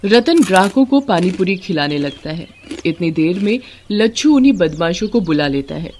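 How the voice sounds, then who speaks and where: A woman's voice: lowish voice, lively intonation, normal speed, a little mellow, a little hiss or hum. A woman narrator reading a scripted bedtime moral story.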